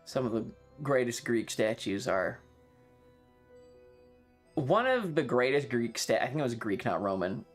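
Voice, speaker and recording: A man chatting casually on a livestream, rambling and halting, swearing freely as he talks. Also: mid-range voice, sing-song, faint music behind. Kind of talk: casual rambling stream chat.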